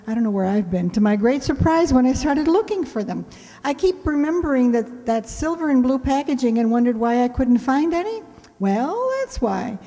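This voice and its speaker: A woman's voice: high voice, sing-song, normal speed, dark tone. A woman hosting a show, making chatty, folksy, joking announcements to an audience in a casual way. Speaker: radio play director and show host. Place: indoor hall, live stage event. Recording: reverberant hall.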